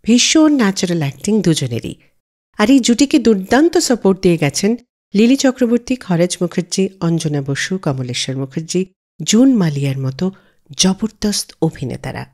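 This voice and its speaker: A woman's voice: fairly low pitch, sing-song, brisk, darkish tone. A woman with an Indian Bengali accent presenting a scripted film music radio show as its host.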